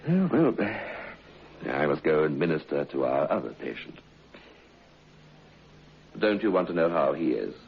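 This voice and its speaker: An actor playing a scheming planter husband, falsely solicitous and coldly sinister, in an old radio horror play. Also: male voice, extremely low pitch, big pitch swings, a little slow, vocal fry, long pauses, breathy edge, heavily acted. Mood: falsely cheerful. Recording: dull, noisy old radio recording.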